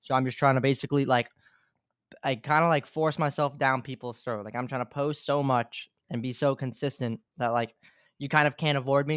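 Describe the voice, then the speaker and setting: A man in his twenties, a guest on a podcast, talking in a relaxed way with lots of filler words. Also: medium pitch, clear, resonant tone, dry recording, clean studio sound. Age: 20s. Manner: relaxed, fills talk with "like". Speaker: podcast guest, gaming content creator. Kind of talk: casual interview chat.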